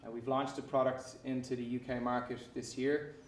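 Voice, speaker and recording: A man with an Irish accent giving a startup pitch, often restarting his sentences around "uh" and repeated words. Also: flat delivery, normal speed. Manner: frequent fillers and repeated restarts. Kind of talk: pitch presentation. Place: conference hall. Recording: echoey hall, distant mic, faint noise.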